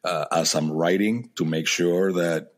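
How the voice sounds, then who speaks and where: A man's voice: low-pitched, measured pace. A man hosting a podcast interview whose first language is Spanish, thinking aloud and often repeating words as he searches for them. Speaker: podcast host. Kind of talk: conversational interview.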